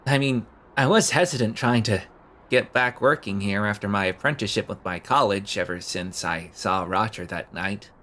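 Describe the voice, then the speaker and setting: A man's voice: on the low side, normal speed, a bit bright, lightly acted. A man acting in an audio drama as an awkward, overeager young man who stammers and rambles nervously. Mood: uneasy. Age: young adult.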